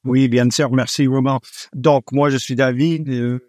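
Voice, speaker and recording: A middle-aged man with a foreign accent, not a native French speaker, talking as a guest in an interview and often pausing with "euh". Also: medium pitch, steady tone, no room sound, studio quality. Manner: frequent hesitations with "euh", warm laughs. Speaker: guest, executive recruiter. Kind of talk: relaxed interview conversation.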